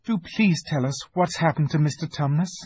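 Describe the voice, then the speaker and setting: Man voicing an anxious, high-pitched young girl. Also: moderate pace, a little mellow, slightly rough, no echo. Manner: polite, pleading, hesitant. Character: anxious, earnest young girl, soft and pleading. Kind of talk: dramatized storybook reading.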